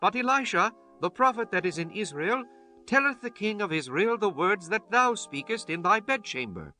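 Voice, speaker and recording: A man's voice: high-pitched, expressive, moderate pace, bright, forceful tone. A man playing an earnest servant of an ancient king, formal and deferential, in a scripture drama. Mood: urgent deference. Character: earnest male servant reporting to his king. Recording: music bed under the voice.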